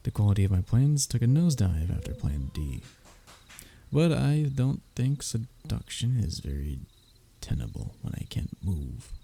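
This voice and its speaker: A man's voice: on the low side, very animated, unhurried, vocal fry, warm tone, a little hiss or hum, full character voice. A man playing a stubborn, scheming, lovestruck young human royal held captive, in a close audio roleplay with flowery wording and flustered stammers. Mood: scheming, persuasive. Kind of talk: audio roleplay acting, addressing the listener closely.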